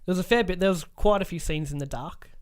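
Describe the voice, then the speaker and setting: Male podcast host, somewhat higher-pitched. Casual, blunt, freely swearing film talk. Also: fast talker, clean tone. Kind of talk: casual chat about films.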